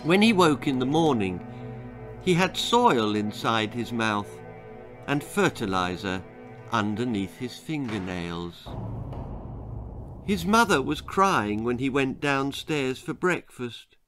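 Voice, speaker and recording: A man's voice: medium pitch, animated, slow, fairly bright tone, soft background music. A British man in his sixties reading a children's story aloud with dramatic narration. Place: small indoor room lined with bookshelves.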